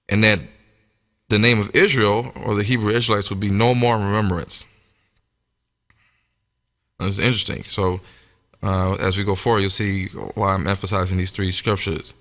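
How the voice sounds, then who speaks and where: A man's voice: medium pitch, sharp tone. A man giving a sermon-like religious lecture, speaking in an emphatic, teacherly way. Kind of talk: sermon-like lecture with scripture reading. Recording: echoey hall with long reverb.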